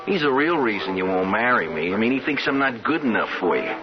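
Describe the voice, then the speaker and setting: A touchy young ex-boxer from the factory floor in an old radio play. His talk is slangy and needling, with very lively intonation. The sound is lo-fi, with music behind it. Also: on the low side, brisk. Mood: bitter, pleading. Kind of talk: old radio drama acting. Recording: dull lo-fi radio recording with music.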